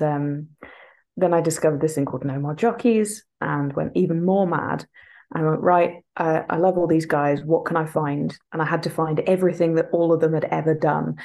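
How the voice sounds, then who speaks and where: A woman's voice: deep voice, vocal fry, a little mellow. A woman in her thirties from England, a podcast guest telling rambling, self-deprecating stories. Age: 30s. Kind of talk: casual interview chat.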